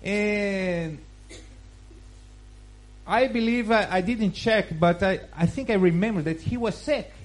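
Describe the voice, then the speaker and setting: Slow Brazilian-accented man preaching. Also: sits high. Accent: Brazilian Portuguese. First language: Portuguese. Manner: slow, careful, halting phrasing with restarts. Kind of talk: Bible reading and sermon.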